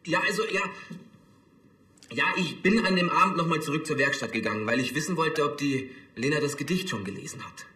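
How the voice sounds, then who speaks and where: An actor playing a nervous young apprentice in a crime drama, hesitant and defensive. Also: male voice, a little high, brisk, pushed and bright, reverberant. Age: young adult. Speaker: actor in a TV police series.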